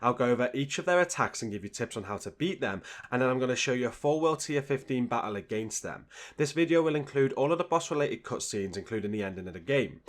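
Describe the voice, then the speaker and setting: A man hosting a gaming video, reading scripted guide narration in a straightforward, instructional way. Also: medium pitch.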